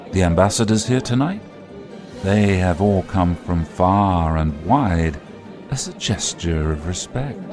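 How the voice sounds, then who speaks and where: Ancient vampire noble, audio drama: very low, unhurried, formal and knowing. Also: male voice. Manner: measured, suave, formal. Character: long-lived vampire nobleman, composed and knowing. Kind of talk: audio drama acting, measured exposition.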